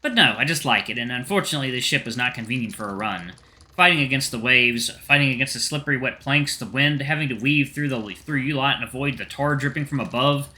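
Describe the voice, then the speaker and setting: A man voicing a young adult female cheetah sailor, proud and playful, talking in an emphatic, lively way. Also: medium pitch, fast talker. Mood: earnest.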